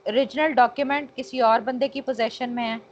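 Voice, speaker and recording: A woman's voice: a little high, steady tone, a little fast. A woman with a Pakistani accent teaching law in an online lecture, explaining with English terms mixed in and checking that students follow. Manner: checks understanding, frequent tag phrases. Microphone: online call. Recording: dull, noisy online call audio.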